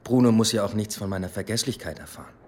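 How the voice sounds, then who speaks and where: An actor playing a discreet police detective in a radio drama, polite and evasive. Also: male voice, lowish voice, fast, a little hiss or hum, lightly acted. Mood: discreet, reassuring. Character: discreet male police detective smoothing over an awkward encounter. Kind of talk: German radio drama acting.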